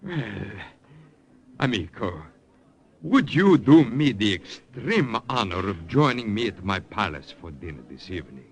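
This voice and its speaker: An actor playing a fawning, excitable Italian count who flatters effusively and calls out shrilly, in 1950s radio acting style. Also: male voice, on the low side, unhurried. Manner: flattering and effusive, shouts shrilly when calling out.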